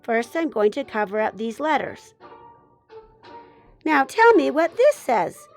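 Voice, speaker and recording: A woman acts out a kind little boy from a children's story. The delivery is patient and instructive, encouraging at every step, in a gentle teaching rhythm. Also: sits high, sing-song, slow, soft background music, exaggerated voice.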